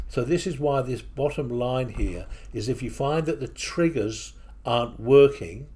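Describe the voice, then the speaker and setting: A man with a mixed English and New Zealand accent, walking through software on his computer in a casual, hesitant way with many fillers. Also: medium pitch, slightly rough. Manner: hesitant, with frequent fillers and restarts. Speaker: software tutorial presenter. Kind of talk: casual screen-recording walkthrough. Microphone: desktop microphone at a computer.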